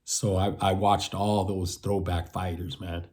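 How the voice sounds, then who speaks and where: A man's voice: low voice, flat delivery, measured pace, a little mellow. A man in his fifties giving rambling casual commentary, repeating himself and ending lines with "man". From United States. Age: 50s. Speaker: boxing channel host.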